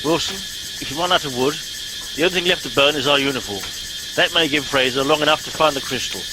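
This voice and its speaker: An actor playing a pompous, blustering middle-aged English starship captain in a comic radio play, giving clipped orders. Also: male voice, a little high, quick pace, noisy background, lightly acted. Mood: stern. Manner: blustering, officious, clipped commands.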